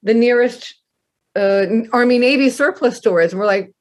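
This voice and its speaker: A woman in her fifties, scratchy from a night of karaoke, telling stories in animated casual chat and swearing freely. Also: fairly high pitch, moderate pace. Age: 50s. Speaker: guest masseuse. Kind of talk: casual storytelling.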